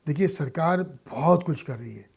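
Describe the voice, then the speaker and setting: A mature Indian man speaking as an interview guest, earnest and emphatic, in deliberate short phrases with some English mixed in. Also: a little high, expressive, creaky, a little mellow, husky. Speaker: interview guest, social-cause filmmaker and philanthropist. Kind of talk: earnest radio interview talk.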